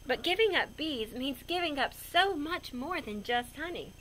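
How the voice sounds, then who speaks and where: A woman reads a children's picture book in a fairly high, very animated voice. She explains things simply as she goes, in an expressive storyteller's style. There is some light noise in the recording. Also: moderate pace, rough recording. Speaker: read-aloud storyteller for children.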